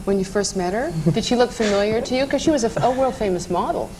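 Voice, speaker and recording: A woman's voice: on the high side, fast talker, noisy background. A woman hosting a TV talk show, reading a polished introduction and then asking chatty, prompting questions.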